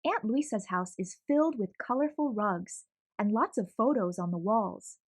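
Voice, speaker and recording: A young adult woman reading a children's picture book aloud in an expressive, animated way. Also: mid-range voice, moderate pace, no room sound, clean studio sound. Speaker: storyteller.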